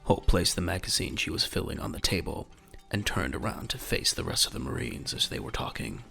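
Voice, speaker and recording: A man narrating a scripted audio drama in a measured, dramatic, gravelly voice. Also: low voice, lively intonation, pushed and bright, faint music behind.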